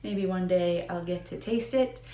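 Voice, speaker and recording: Echoey room, woman w american israeli accent, lowish voice, chatting casually like a host explaining stuff, bit halting, repeats words for emphasis. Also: dark tone. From Israel and the United States. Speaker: video host. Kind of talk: casual educational storytelling. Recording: roomy, echoing indoor sound.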